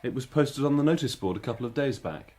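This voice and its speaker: A man's voice: medium pitch, lively intonation, fast talker, mellow, lightly acted. A man acting a young constable, deferential and muttering with a cocky streak, in a radio drama. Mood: defensive. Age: young adult. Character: meek but cocky young male police constable.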